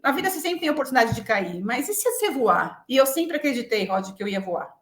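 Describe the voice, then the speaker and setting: Roomy echo, Brazilian woman in her forties, high-pitched voice, frank and self-assured, conversational interview answers. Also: sing-song, fast. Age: 40s. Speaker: interview guest, business executive. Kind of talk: conversational interview, personal reflection. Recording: roomy, echoing sound.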